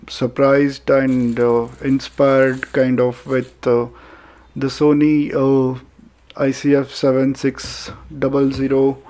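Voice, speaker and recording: Slow, flat-toned Indian man reviewing gear. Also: medium pitch. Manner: halting, hesitant delivery with frequent filler pauses. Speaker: product reviewer. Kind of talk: casual unboxing narration.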